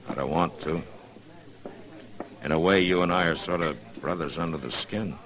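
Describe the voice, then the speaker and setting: An American man acting a calm, authoritative middle-aged frontier marshal in an old-time radio western. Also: deep voice, normal speed. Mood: reasoning.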